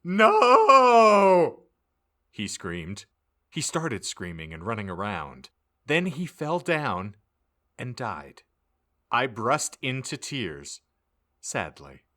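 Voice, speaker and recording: Low, bright man narrating with theatrical flair. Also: very animated, slow pace. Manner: mock-serious, theatrical. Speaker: podcast host reading an audiobook. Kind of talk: comic dramatic narration of fan fiction.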